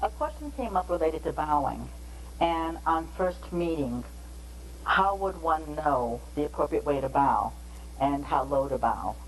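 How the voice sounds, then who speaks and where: A woman giving a measured, explanatory training lecture on interpreting, pausing between phrases. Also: sits low, lively intonation, slight background noise, low-quality recording.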